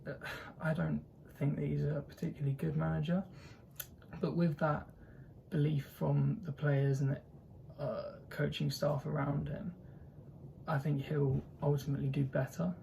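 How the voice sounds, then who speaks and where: A man's voice: on the high side, flat delivery, normal speed, gravelly, warm tone, slight background noise, rough recording. A young man with a posh southern English accent hosting his own football channel, talking casually and hesitantly with lots of fillers and restarts. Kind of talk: casual solo commentary.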